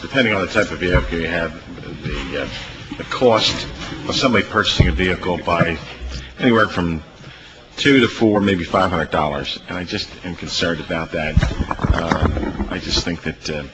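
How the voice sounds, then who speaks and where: Male American legislator, deep-pitched, stumbling through off-the-cuff committee remarks over clear room noise. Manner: halting, repetitive delivery. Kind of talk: unscripted remarks at a committee hearing.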